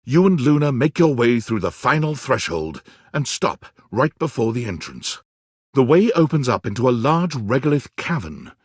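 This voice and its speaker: A man delivering dramatic scripted narration for a video game, theatrical and deliberate, with storybook relish. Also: medium pitch, breathy edge, no room sound, studio quality.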